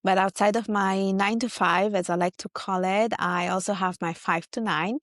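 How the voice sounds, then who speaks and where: A woman's voice: medium pitch, fairly even intonation, moderate pace, dry recording, clean studio sound. A woman in her thirties from Italy, a guest chatting easily about her life in a podcast interview. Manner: friendly, chatty, lists things out. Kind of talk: casual interview chat.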